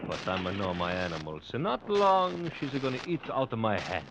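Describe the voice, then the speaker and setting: An actor playing an excitable circus owner and animal trainer with a thick Italian accent and broken English, in old radio drama style. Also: male voice, lowish voice, a little fast. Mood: confiding, proud. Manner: broken English, emotional and singsong. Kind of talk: 1950s radio acting. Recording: noisy old radio recording.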